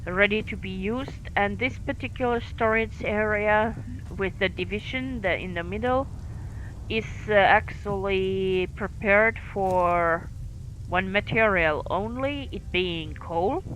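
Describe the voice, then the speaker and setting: A woman from Finland with a light Finnish accent, commentating her own live game stream, halting and filling gaps with fillers as she thinks aloud while playing. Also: mid-range voice, fairly bright tone, low-quality recording. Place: home in France.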